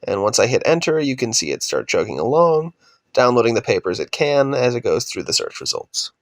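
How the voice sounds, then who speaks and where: A young man with a voice raspy from a cold, steadily narrating a slide presentation on his undergraduate research project. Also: medium pitch, brisk, no room sound. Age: young adult. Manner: steady, explanatory, trails off at sentence ends.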